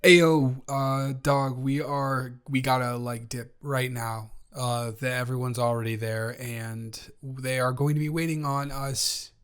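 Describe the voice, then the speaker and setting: A man's voice: mid-range voice, flat delivery, a little slow, hardly any pauses, a bit bright, clear, resonant tone, lightly acted. A man playing a flustered, befuddled young guy in an improvised roleplay audio, stammering and rambling as he talks to the listener. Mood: awkward, hesitant. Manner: stammering, rambling, awkwardly backtracking.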